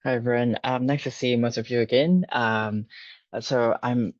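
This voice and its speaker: A man's voice: mid-range voice, steady tone, normal speed, dry recording, lo-fi sound. A man from Singapore, a university partnerships manager, introducing himself casually with many fillers on a video call.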